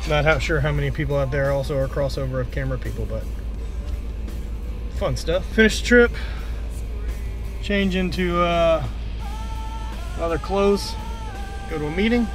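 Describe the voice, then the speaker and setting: A man's voice: fairly high pitch, normal speed, pauses often, music in the background. A young man with a Texan accent talking casually to a dashboard camera from inside his pickup truck, rambling with lots of fillers. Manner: relaxed, rambling, many fillers. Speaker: vlogger. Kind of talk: casual vlog talk to camera. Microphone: camera mounted on the dashboard.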